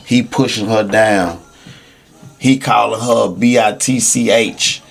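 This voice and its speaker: A man's voice: fairly low pitch, fairly even intonation, slow, gravelly. A man chatting casually on a podcast, informal and emphatic with profane street slang. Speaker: podcast speaker.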